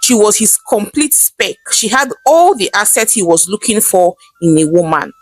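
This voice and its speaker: A woman's voice: medium pitch, very animated, normal speed, no echo, soft background music. A woman with a Nigerian accent narrating a folktale as a storyteller. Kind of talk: animated scripted folktale narration.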